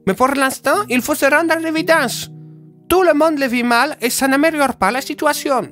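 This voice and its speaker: Man putting on a high-pitched, earnest worried woman. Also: moderate pace, fairly bright tone, soft background music. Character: worried townswomen, earnest and questioning. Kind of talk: audio drama character dialogue.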